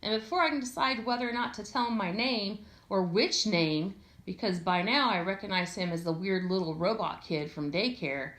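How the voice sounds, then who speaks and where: Female story reader, echoey room, medium pitch, conversational, sardonic, expressive first-person narration. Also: moderate pace, few pauses. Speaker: audiobook reader.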